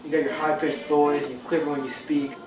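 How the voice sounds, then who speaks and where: A teenage student plays a deadpan boy who answers everything with flat calm. His voice runs slightly high. It is picked up far off with noise behind it. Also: fairly quick, lightly acted. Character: dry, matter-of-fact boy best friend. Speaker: student actor. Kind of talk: amateur school film acting. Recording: distant camera mic with background noise.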